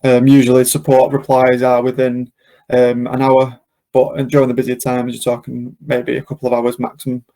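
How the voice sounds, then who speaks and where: A man presenting a live software demo and question session, explaining step by step in an informal, hesitant way. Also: medium pitch, monotone, moderate pace. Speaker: webinar presenter and software demonstrator.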